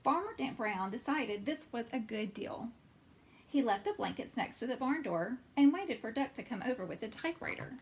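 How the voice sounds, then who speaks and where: A woman's voice: fairly high pitch, animated, normal speed, a little hiss or hum. A woman hosting storytime for children, reading and explaining simply, with questions put to young listeners. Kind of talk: animated storybook read-aloud and craft talk for children.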